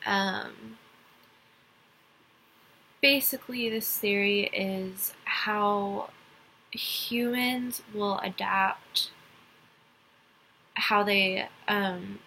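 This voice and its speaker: A woman's voice: mid-range voice, drawn-out words, pauses often, sharp tone, a little hiss or hum, rough recording. A young woman from Dallas with a light Texas accent, giving a casual class presentation and rambling through it with lots of ums and restarts. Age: young adult. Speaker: college student.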